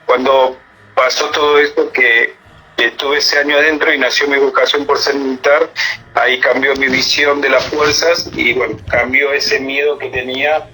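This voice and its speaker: A middle-aged man with an Argentine accent answers interview questions informally, recalling his life in a halting way with repeated words. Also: mid-range voice, sharp tone. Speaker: army senior non-commissioned officer being interviewed. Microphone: telephone. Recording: phone call interview with music.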